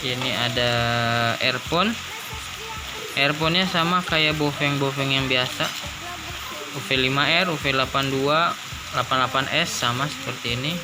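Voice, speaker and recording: A man's voice: medium pitch, steady tone, moderate pace, pauses often, background noise. A man giving a casual unboxing commentary, informal and thinking aloud as he goes. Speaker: product reviewer. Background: music.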